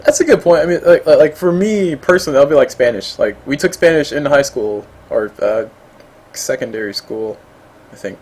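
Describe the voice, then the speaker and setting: A man's voice: fairly high pitch, expressive, fairly quick, a little mellow, breathy edge. A man hosting a casual esports interview, playful and rambling, drifting off on jokes and tangents. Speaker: interview host.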